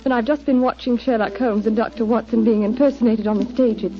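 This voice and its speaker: A woman's voice: on the high side, fairly even intonation, quick pace, close to an everyday voice. An actress playing a guarded, well-bred young lady seeking help in a 1940s radio drama, formal in manner. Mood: polite, earnest. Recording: dull 1940s radio broadcast with music.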